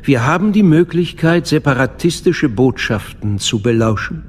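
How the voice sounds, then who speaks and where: An actor playing a dignified older chancellor in a radio play, with a formal, measured manner. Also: male voice, on the high side, lively intonation. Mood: displeased. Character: dignified, smooth-talking older male chancellor. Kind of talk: dramatic radio play acting.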